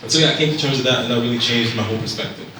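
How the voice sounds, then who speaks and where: A young man in his twenties from Zambia telling his life story to an audience in a relaxed, halting way with many fillers. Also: medium pitch, a little hiss or hum. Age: 20s. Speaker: speaker at a storytelling event. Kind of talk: personal storytelling talk. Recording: echoey room picked up from a distance.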